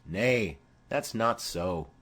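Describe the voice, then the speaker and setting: A man's voice: lowish voice, lively intonation, very slow, fairly bright tone, breathy edge, exaggerated voice. A man voicing a heavy-hearted, lovesick young man in a poetic, mournful Shakespeare audiobook reading. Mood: wistful.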